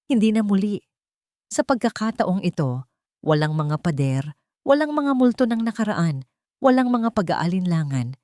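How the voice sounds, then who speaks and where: Woman voicing a tender, devoted man in love, low-pitched. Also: darkish tone, no echo, studio quality. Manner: earnest, emotional declarations.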